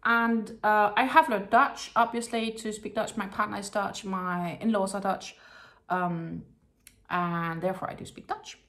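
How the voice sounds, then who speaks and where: A woman's voice: mid-range voice, fairly even intonation, a little slow. Chatty Danish-accented woman with frequent ums. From Denmark. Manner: chatty, many ums and likes, drops to a soft murmur while handling yarn. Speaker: crochet vlogger. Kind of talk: casual unboxing show-and-tell.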